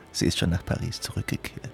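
An actor playing an amused society gossip who reports news in a radio drama, with a creaky voice. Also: male voice, close to an everyday voice. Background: music.

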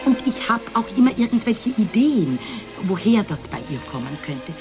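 A woman's voice: medium pitch, very animated, moderate pace, music in the background. An actress playing a hesitant, burdened therapy client in a radio drama, searching for her words in conversational speech. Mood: hesitant, reflective.

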